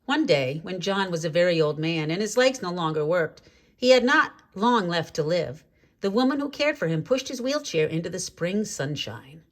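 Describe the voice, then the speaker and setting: A woman reading a children's story aloud in an expressive, measured way, drawing out key words for drama. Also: on the low side. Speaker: storybook reader.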